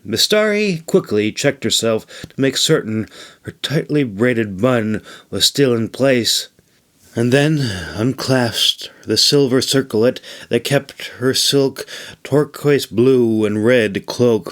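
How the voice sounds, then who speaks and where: A man reading his own fantasy novel as a scripted audiobook narration, with deliberate, measured phrasing. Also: mid-range voice.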